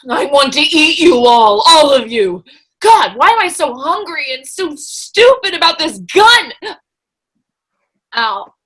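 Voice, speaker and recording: A woman acts a hungry lioness in a staged play reading. The voice is high and drawn out, with halting exclamations. She is bewildered and foul-mouthed, muttering to herself. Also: lively intonation, fairly bright tone, full character voice, poor audio. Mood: ravenous, frustrated. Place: remote, on a video call.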